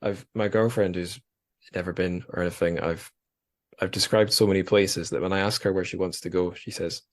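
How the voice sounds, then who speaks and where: A man's voice: low voice, steady tone, brisk. A man hosting a podcast, chatting casually and then wrapping up the show with jokey, self-deprecating plugs and frequent restarts. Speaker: podcast host. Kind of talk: casual interview chat and show outro.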